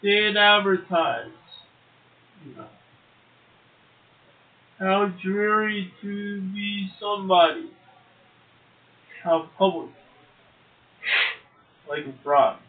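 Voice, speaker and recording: A man's voice: high voice, drawn-out words, pauses often. A man co-hosting a poetry show, making casual comments and reading short verse aloud. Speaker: poetry podcast co-host, poet. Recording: muffled, tinny phone-like audio.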